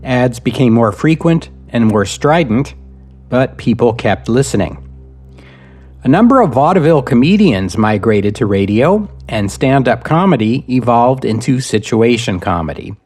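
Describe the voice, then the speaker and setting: Man narrating history in measured phrases. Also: medium pitch, animated, darkish tone, breathy edge, faint music behind. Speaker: history podcast host and narrator. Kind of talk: scripted narration, storytelling lecture.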